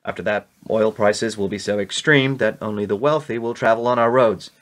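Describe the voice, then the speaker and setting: Radio drama: male actor as a matter-of-fact official with a lowish voice, dry and deadpan in briefing. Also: moderate pace, natural voice. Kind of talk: radio play acting.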